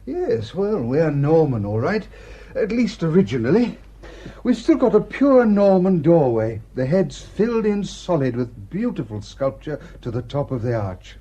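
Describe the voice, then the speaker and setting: An actor playing a genial, fussy, absent-minded country rector with an English accent, speaking with hesitant courtesy and old-fashioned diction in a radio drama. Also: male voice, fairly high pitch, very animated, a little slow, mellow, husky, muffled recording, exaggerated voice. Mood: genial, courteous.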